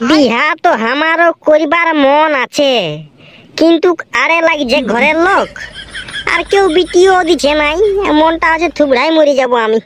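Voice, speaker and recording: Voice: high voice, measured pace, a bit bright. A performer voicing a hot-tempered, self-pitying cartoon villager who complains and blusters in a rural Purulia accent. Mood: grumbling. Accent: Purulia, rural western Bengal. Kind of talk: comedy cartoon dialogue in rural dialect.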